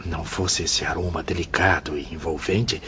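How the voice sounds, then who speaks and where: A man playing a devout spirit companion, hushed and reverent in an audio drama. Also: rumbling low, fairly even intonation, normal speed. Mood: awed. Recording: muffled lo-fi audio with music.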